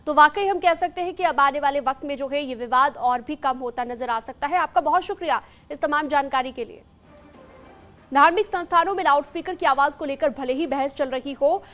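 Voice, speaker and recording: A woman's voice: high-pitched, normal speed, a bit bright. A woman presenting live television news as an anchor, in an emphatic, repetitive manner.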